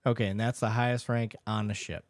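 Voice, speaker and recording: A man's voice: lowish voice, flat delivery, brisk, no room sound, studio quality. A man hosting a podcast, asking curious, blunt questions in casual chat and swearing freely. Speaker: podcast host.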